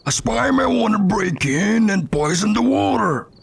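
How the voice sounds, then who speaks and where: A high, creaky-voiced guard in a fantasy audio drama. He is raspy, edgy and scolding, always scheming his way toward promotion. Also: animated, a little slow, exaggerated voice.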